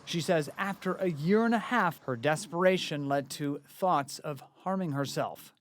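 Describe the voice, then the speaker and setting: A male news reporter narrating an investigative report in a measured, earnest way. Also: fairly high pitch, slight background noise.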